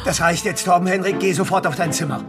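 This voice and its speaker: A woman playing a stern, excitable parent in a German children's radio play, quick to give orders. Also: fairly low pitch, loud music behind the voice, lightly acted. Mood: angry, commanding.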